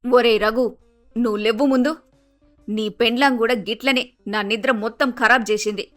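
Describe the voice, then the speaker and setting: A woman's voice: fairly high pitch, steady tone, a little fast, fairly bright tone, soft background music, lightly acted. A woman playing a bossy, grumpy middle-aged mother-in-law with a Telangana Telugu accent, blunt and scolding, in a story cartoon. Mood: exasperated, scolding.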